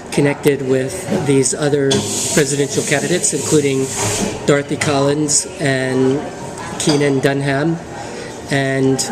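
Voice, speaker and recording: Guy in his 50s, pretty monotone, halting candidate pitch on a conference call, clear background noise. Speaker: political candidate. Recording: noisy conference call with steady hum.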